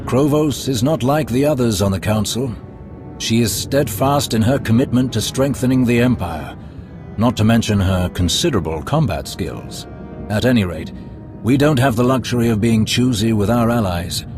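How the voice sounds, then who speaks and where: An actor playing a smooth, scheming male Sith lord who speaks in a courtly, ingratiating, measured way. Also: mid-range voice, lightly acted. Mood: suave. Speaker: Imperial schemer. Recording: game cutscene over loud music.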